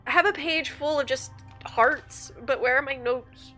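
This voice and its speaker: Young woman gamer, sick and sniffly, fairly high bright chatty voice, quiet music behind. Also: unhurried. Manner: chatty and upbeat, laughs often, thinks aloud. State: sick with a stuffy nose, sniffling. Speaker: streamer. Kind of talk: casual gaming livestream chat.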